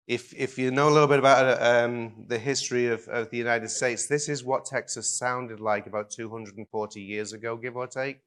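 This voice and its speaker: Man, Irish-tinged Liverpool accent, conference keynote, relaxed, joking, restarts often. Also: medium pitch, normal speed, clean tone. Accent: Irish-tinged Liverpool English. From England, raised near Liverpool in an Irish family. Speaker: keynote speaker. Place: conference stage.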